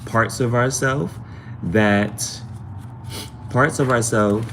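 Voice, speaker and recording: A man's voice: mid-range voice, very slow, a bit bright, slight background noise. A man hosting a casual tarot livestream, chatting informally to viewers and rambling, often repeating phrases for emphasis. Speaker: livestream host and amateur tarot reader.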